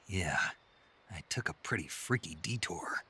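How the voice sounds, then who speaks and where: A terse soldier, very deep. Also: male voice, lively intonation, unhurried, pushed and bright, slight background noise, full character voice. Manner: clipped, murmured delivery. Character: terse gruff male special-ops soldier. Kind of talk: video game cutscene acting.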